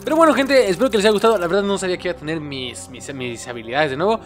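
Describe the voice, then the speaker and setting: A man's voice: sits high, lively intonation, rapid-fire, soft background music. A young man giving frantic gameplay commentary, repeating words in panic. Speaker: gaming YouTuber.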